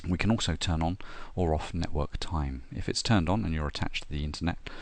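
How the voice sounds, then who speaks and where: A middle-aged man talking through a software install, step by step, with frequent ums and uhs. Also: very deep voice, brisk. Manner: steady step-by-step explaining with frequent "uh" and "um". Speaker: software tutorial presenter. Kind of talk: screen-recorded tutorial narration.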